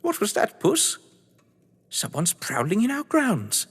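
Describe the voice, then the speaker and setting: A man's voice: sits high, wildly swooping pitch, slow pace, slight background noise, pitched above the performer's own voice, exaggerated voice. A man voicing a timid, silly old woman, fretting and cooing to a cat in an audio drama. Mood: fearful, cooing. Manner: fretful, cooing. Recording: long hall-like reverb.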